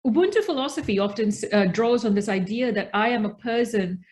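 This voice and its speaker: A woman from Australia, around her forties, giving a reflective webinar talk as an invited researcher, speaking deliberately and stressing key words. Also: on the high side, animated, a little mellow.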